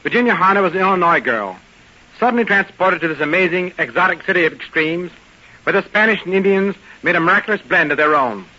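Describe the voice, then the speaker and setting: Male guest editor, vintage radio. Slightly high, bright voice, formal prepared reading, muffled recording. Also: animated, fast. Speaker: magazine editor, guest speaker. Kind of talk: prepared scripted introduction on old radio.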